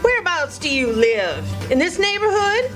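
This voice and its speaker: A woman's voice: very high-pitched, sing-song, music in the background, full character voice. A woman playing a shrewd, kindly country housewife in a live radio play, motherly and prying. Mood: welcoming. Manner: folksy Southern rural dialect, drawn-out. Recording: stage recording with faint background noise.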